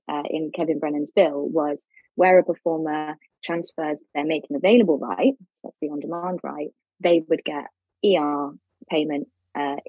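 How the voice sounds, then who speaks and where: A woman guest on a podcast explaining legal points quickly, with many fillers and restarts. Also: low-pitched, a little mellow, slightly rough, no room sound, lo-fi sound. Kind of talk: podcast discussion.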